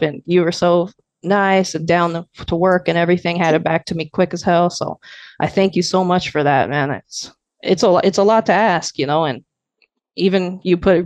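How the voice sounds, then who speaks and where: A man in his twenties from Arizona, a guest producer and emcee with a fairly high voice, chatting casually and gratefully on a podcast. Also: dry recording, highs cut off. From Arizona, USA. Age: 20s. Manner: laid-back, rambling, grateful.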